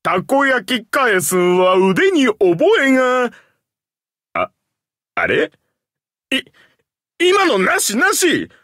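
A man's voice: extremely high pitch, wildly swooping pitch, very slow, long pauses, bright, forceful tone, dry recording, studio quality, exaggerated voice. An actor playing a hearty, easygoing middle-aged man with a Kansai accent in a mobile game. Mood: playful, flustered. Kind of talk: Japanese mobile game character acting.

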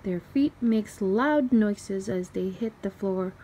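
A woman's voice: mid-range voice, takes it slowly, husky. A woman reading a children's storybook aloud expressively as a storytime host, acting out the dialogue. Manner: expressive, acts out dialogue and sound effects.

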